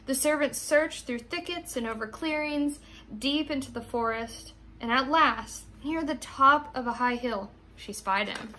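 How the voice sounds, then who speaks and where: A young American woman with a high voice speaks as a teacher to children. Her delivery is expressive, in the manner of someone reading a storybook aloud. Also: measured pace, a little hiss or hum. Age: young adult.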